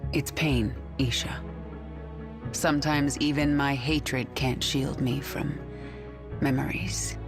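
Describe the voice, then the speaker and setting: A woman's voice: very deep voice, steady tone, slow, music in the background, heavily acted. An actress playing the commanding queen of an alien swarm, giving cold, authoritative orders in a game cutscene.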